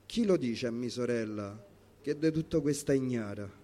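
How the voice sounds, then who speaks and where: A man's voice: mid-range voice, normal speed, dark tone. A man with a Roman accent reciting spoken-word poetry in a rhythmic, emphatic, dramatic way. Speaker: poetry performer.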